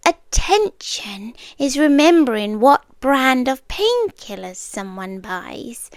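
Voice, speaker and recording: A woman acts out an eerily calm, possessive yandere girlfriend with a British accent and a high voice. She speaks slowly and deliberately, often sinking into a whisper. It is an intimate ASMR roleplay, very close and dry on the microphone. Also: no echo, exaggerated voice. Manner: measured, deliberate phrasing, often dropping into whispers.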